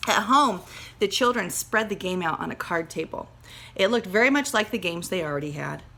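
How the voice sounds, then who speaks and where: A woman teacher reading a children's story aloud with dramatic emphasis, pausing to speak directly to her pupils. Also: mid-range voice, expressive, a bit bright. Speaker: second grade teacher. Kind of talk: expressive storybook read-aloud with teaching asides.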